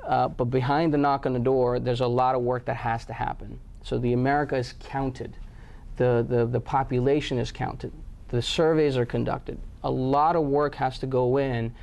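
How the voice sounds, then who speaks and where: A man's voice: mid-range voice, little pitch movement, moderate pace, gravelly, a little mellow. A man from Pakistan answering interview questions about his company, with many fillers and restarts. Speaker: guest, company head.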